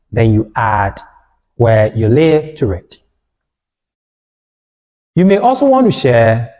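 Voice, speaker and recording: A man giving a scripted beginner language lesson as a tutor, in a deliberate instructional manner, repeating phrases. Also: mid-range voice, warm tone, muffled recording. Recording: long hall-like reverb.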